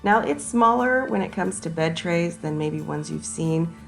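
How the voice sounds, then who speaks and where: A woman narrating a casual shopping vlog, hoarse and raspy from a sinus infection, chatty and enthusiastic as she talks to her viewers. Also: lowish voice, expressive, measured pace, faint music behind. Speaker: shopping vlogger. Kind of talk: casual shop-with-me voice-over.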